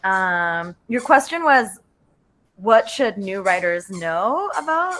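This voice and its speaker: A woman talking casually as a panelist on a video call, chatty and halting, with giggly asides. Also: medium pitch, very animated, a bit bright. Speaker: playwright panelist.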